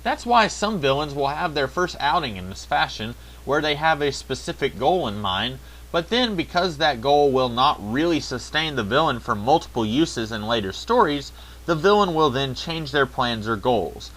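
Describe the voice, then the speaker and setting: A man's voice: medium pitch, big pitch swings, moderate pace, fairly bright tone, low-quality recording. A man narrating a scripted review, with wry, sarcastic asides. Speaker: video reviewer.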